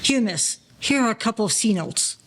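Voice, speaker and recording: A man's voice: high-pitched, expressive, normal speed, fairly bright tone, slight background noise. A man playing a wary old-time gangster in an audio play, speaking in formal, slangy phrases. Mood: businesslike. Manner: slangy, formal present-tense gangster talk.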